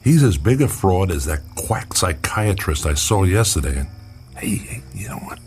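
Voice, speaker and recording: A man's voice: low voice, big pitch swings, normal speed, a little mellow, a little hiss or hum. An actor playing a wry, skeptical male investigator in an audio drama, dry and a little hesitant. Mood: frustrated, dismissive.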